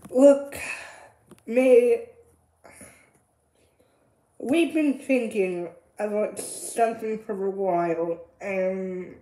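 A woman's voice: mid-range voice, extremely slow, pauses often, dark tone. A woman playing a weary, apologetic and defensive mother in an amateur audio roleplay. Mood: hesitant, sorrowful. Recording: roomy, echoing home recording.

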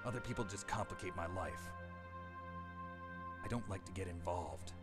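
A man plays a hardened lone-wolf soldier in a video game cutscene. The voice is low and gruff, with terse, deadpan, aloof delivery. Loud music plays behind it. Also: moderate pace, natural voice. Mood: guarded, aloof.

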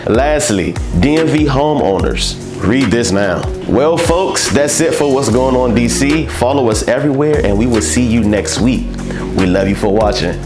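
A young man hosting an upbeat entertainment news roundup, chatty and playful to camera in a studio. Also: fairly low pitch. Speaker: news show host.

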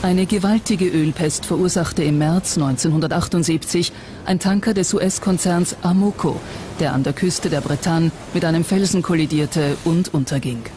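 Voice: medium pitch, normal speed, a little mellow, a little hiss or hum. A documentary narrator reading a scripted text in a factual documentary style. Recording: music bed under narration. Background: background music.

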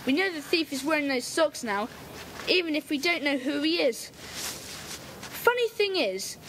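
A woman's voice: sits high, lively intonation, a bit bright. A bold young boy leader. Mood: eager. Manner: brisk, decisive, eager. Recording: noisy tape recording.

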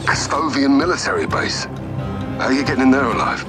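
An actor playing a gruff, unflappable army captain in a military game, speaking in a clipped, low-key way. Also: male voice, animated, moderate pace, over music, lightly acted. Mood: grim, businesslike. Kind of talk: cinematic military game acting.

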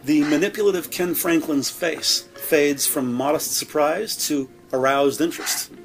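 A man's voice: a little high, measured pace, creaky, faint music behind, poor audio. A man reading scripted narration for an audio drama.

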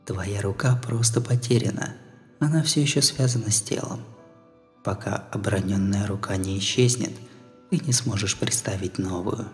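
A male narrator plays a cool, knowing man from an audiobook. He speaks quietly and with measured care, insinuating more than he states. Music plays behind the voice. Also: on the low side, big-room sound, lightly acted. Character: cool, knowing male prosthetic keeper in an underground room. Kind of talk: audiobook character voice.

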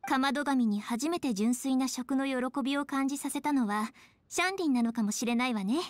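A woman's voice: high-pitched, fairly quick, no echo, lightly acted. A woman voicing a poised, sincere young woman in a Japanese game, composed and articulate. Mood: admiring. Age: young adult.

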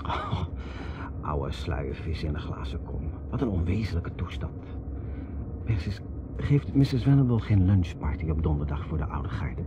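A man's voice: sits low, big pitch swings, normal speed. An actor playing an earnest, persuasive man in a radio drama, in a conversational way. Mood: questioning. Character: Ted, earnest persuasive middle-class American man. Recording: noisy, muffled old radio drama recording.

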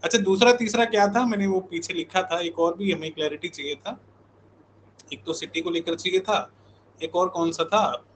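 A man's voice: high voice, moderate pace, slight background noise, low-quality recording. A man in his thirties from India talking informally as an online coaching teacher, chatting with his live class and often checking in. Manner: informal, conversational, repeats check-in tags. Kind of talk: casual live class chat.

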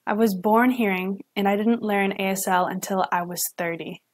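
A woman's voice: medium pitch, steady tone. Young woman voicing a signed lecture. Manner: halting, with self-corrections and restarts. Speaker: student sign language interpreter.

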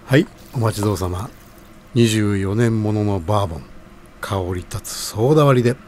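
Man playing a kindly, low-voiced fatherly bar owner. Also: darkish tone, a little hiss or hum, natural voice. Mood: welcoming, hearty. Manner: polite, soothing, measured. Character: kindly older male bar owner, calm, fatherly, courteous. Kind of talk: audio drama acting.

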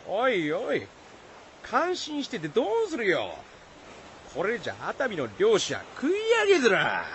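An actor playing a blunt, excitable fisherman in a folktale radio drama, with rough rustic speech in a Shizuoka Izu dialect. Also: high-pitched, normal speed, heavily acted. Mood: scolding. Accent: rural Shizuoka, Izu dialect. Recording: faint background noise.

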